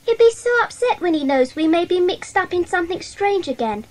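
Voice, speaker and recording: A woman's voice: extremely high pitch, animated, brisk. A woman playing a keen, loyal schoolboy with a British accent and an eager manner in a children's audio drama. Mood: concerned. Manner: eager, exclamatory.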